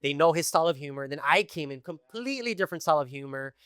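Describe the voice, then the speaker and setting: Bright, crisp-voiced man telling stories. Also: a little high, fast, no room sound, studio quality. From Tijuana and East Los Angeles. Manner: animated storytelling with many fillers. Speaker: guest. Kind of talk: casual podcast conversation.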